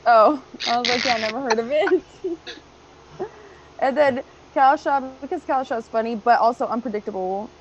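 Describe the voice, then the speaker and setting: A woman's voice: fairly high pitch, normal speed, highs cut off, slight background noise. A woman playing in a tabletop game stream, giggly and playful. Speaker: player. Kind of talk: casual chat.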